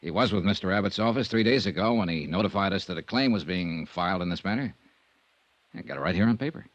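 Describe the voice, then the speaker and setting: A man's voice: low voice, animated, fast talker, dry recording. A dogged American insurance investigator from old radio detective drama. His delivery is wry and conversational, rising and falling freely. The vintage recording is muffled and lo-fi. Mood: probing. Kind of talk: 1950s radio detective drama acting and narration.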